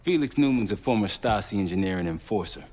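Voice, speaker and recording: An actor playing a steady, pragmatic intelligence operative in a video game, an American man talking frankly and colloquially. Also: fairly low pitch, fast talker. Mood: measured, serious. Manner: laid-back, frank, colloquial. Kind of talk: video game dialogue acting. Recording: long reverberant room echo.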